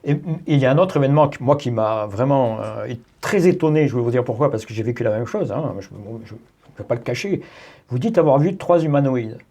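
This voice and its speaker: A man's voice: mid-range voice, expressive, a little fast, dark tone. A male radio co-host asking a guest curious, emphatic questions. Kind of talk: radio interview.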